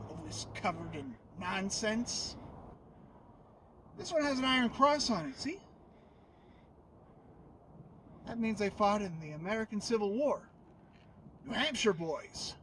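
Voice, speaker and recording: Guy acting as a folksy small town graveyard guide, musing and wry, highish voice, bit of noise behind. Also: fairly bright tone, far from the mic. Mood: wistful, wry. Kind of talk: dramatic monologue, oral interpretation.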